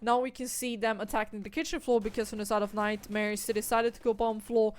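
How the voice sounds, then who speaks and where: A young woman from Austria, commentating an esports match solo, breaking down tactics and lifting into excited calls on the kills. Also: on the high side, quick pace. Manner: analytical, rising to excited bursts on kills. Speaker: esports caster.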